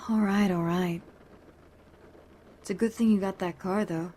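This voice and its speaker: A woman's voice: mid-range voice, fairly even intonation, unhurried, mellow, a little hiss or hum, natural voice. A woman playing a blunt, teasing, take-charge survivor in a zombie audio drama, casual and bossy. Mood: teasing, practical.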